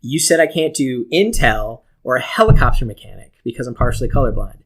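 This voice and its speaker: A man's voice: medium pitch, animated, fairly quick. Casual, foul-mouthed man telling stories. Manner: profane, self-mocking. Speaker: podcast co-host. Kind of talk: casual chat, storytelling.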